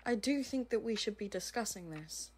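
A woman's voice: mid-range voice, expressive, moderate pace, noisy background, lightly acted. A woman playing a serious, exacting aide with a formal, dry manner in an audio roleplay. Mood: serious, concerned. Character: serious, exacting female crime-business aide, dryly exasperated. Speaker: voice actor. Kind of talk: amateur audio roleplay acting.

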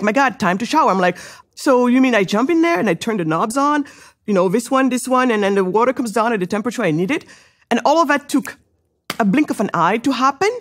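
A middle-aged woman from Senegal, an entrepreneur, telling her life story in an interview with lively, rising excitement and many fillers. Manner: animated, many fillers, rises high when excited. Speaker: guest entrepreneur.

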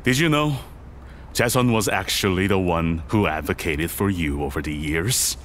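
A man playing a powerful, composed older businessman and father in an audio drama, speaking in a measured, formal way. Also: low-pitched, lively intonation, fairly bright tone, a little hiss or hum. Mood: stern, betrayed. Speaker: actor in a podcast drama.

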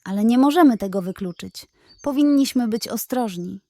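A woman's voice: a little high, lively intonation, moderate pace, mellow, dry recording. A woman voicing a brave, curious young male rabbit in a bedtime audio story, speaking earnestly and reassuringly.

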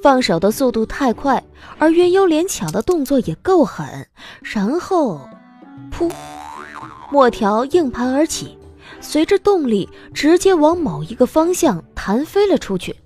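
A woman narrating a scripted audio drama in an expressive storytelling style. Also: high-pitched, measured pace, faint music behind.

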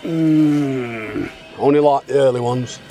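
A man's voice: mid-range voice, slow, music in the background. A middle-aged man, chatting casually and bluntly as he shows finds on a handheld camera outdoors. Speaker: amateur bottle digger filming finds. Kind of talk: casual chat, showing finds. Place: outdoors in a wooded dig site. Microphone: handheld camera microphone. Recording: clear outdoor background noise on handheld camera.

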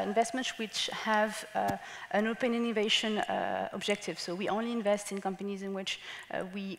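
A woman in her thirties speaks as a panelist, hesitating and repeating words as she goes. Her voice has a gravelly fry. A headset mic picks her up in a big hall with long reverb. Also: mid-range voice. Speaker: panelist, investor. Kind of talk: panel discussion. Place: large conference hall stage.

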